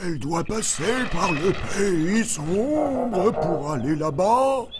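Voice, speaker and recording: A man's voice: sits high, animated, slow, over loud music. A man playing a jovial, blunt animal mail carrier in a children's cartoon, with hearty exclamations. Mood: dismayed, blunt.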